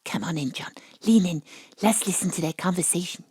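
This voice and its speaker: Cocksure female sleuth, lowish voice, dry close sound, theatrical, conspiratorial, audio drama narration. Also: a little fast, a little mellow, breathy edge, no echo. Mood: hushed, conspiratorial. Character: brash, cocksure amateur female detective narrating her investigation.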